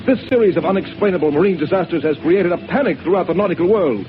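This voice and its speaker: An actor playing a formal official addressing a commission, in a 1930s radio drama on a dull old recording. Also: male voice, high-pitched, animated, fast talker, soft background music. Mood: grave. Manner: formal, grave speechmaking.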